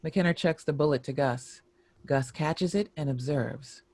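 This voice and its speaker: A man reading scripted screenplay stage directions in a measured, descriptive narration. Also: fairly high pitch, darkish tone, no room sound.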